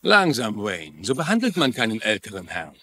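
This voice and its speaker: A man's voice: fairly low pitch, huge pitch swings, moderate pace, sharp tone, exaggerated voice. An actor playing a condescending but outwardly reasonable lawman, admonishing people in a dubbed TV crime drama. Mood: commanding. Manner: patronising, admonishing.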